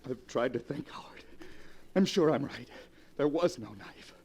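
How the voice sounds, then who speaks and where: A despairing man accused of murder speaks in a middle-pitched voice. His delivery is halting and emotional, in the melodramatic manner of 1940s radio plays. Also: lively intonation, a little mellow, breathy edge. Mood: hopeless, haunted. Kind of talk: 1940s radio drama acting.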